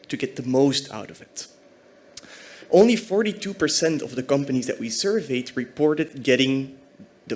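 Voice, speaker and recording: A man's voice: medium pitch, darkish tone. A man from Belgium giving a conference talk as a senior technology architect, explaining fluently.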